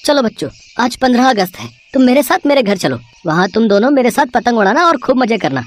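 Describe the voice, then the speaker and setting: A woman's voice: on the high side, lively intonation, fast. A woman voicing a kind, generous, well-off family man in a storybook tale. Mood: kindly.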